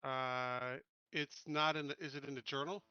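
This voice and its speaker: A man's voice: medium pitch, level tone, moderate pace, bright, forceful tone, clean tone, no echo, highs cut off. A man chatting casually as a player in a tabletop game over voice chat.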